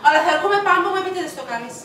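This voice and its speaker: A woman's voice: very high voice, expressive, sharp tone, very echoey, far from the mic, heavily acted. A woman playing a whiny, theatrical wife with a Cypriot Greek accent who dreams of a singing career, in a comedy sketch. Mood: indignant. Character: whiny Cypriot wife, aspiring nightclub singer.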